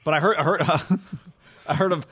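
A man, co-hosting a sports podcast in a laid-back, halting way and reading plugs for the show. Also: a little high, steady tone, fairly bright tone, slightly rough. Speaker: podcast co-host.

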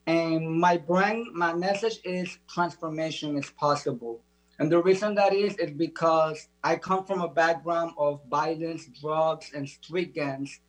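A man's voice: fairly high pitch, steady tone, a little slow, rough recording. A man from the Dominican Republic giving earnest, uplifting motivational talk as a show guest. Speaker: guest, motivational speaker and author.

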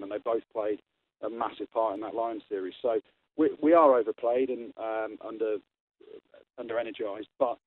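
A man, a retired rugby player giving blunt, opinionated views as a radio guest. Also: on the low side. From England. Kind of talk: radio interview, call-in. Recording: tinny phone line.

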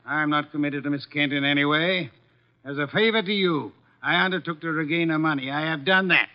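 Man playing imperious male detective for vintage radio drama: pompous, precise, raised pitch, dull old recording. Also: fairly quick, natural voice. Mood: irritable, dismissive. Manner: pompous, formal, precise diction. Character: imperious, irritable, money-minded male private detective. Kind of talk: 1950s radio drama acting. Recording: dull, band-limited 1950s radio recording.

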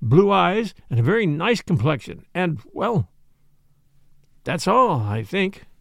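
A man playing an earnest, easily flustered gentleman sidekick in an audiobook story, hesitant and a little stiff. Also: high-pitched, very animated, takes it slowly, gravelly, no room sound, clean studio sound, full character voice. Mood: lame, unsure.

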